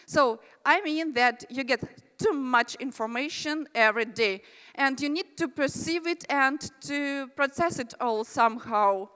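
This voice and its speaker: A woman's voice: sits high, a little slow, sharp tone. A woman from Russia with a Russian accent, giving a chatty conference presentation with friendly asides to the audience. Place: large conference hall. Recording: reverberant hall.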